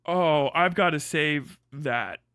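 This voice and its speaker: A young man streams from home, reacting to what is on his screen with sardonic, profane disbelief and frequent laughter. His voice sits a little high and sounds bright and forceful. The sound is close and dry. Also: takes it slowly, clean tone, no room sound. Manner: sardonic, swearing, laughing often, incredulous outbursts. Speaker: streamer host. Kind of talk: casual live-stream commentary.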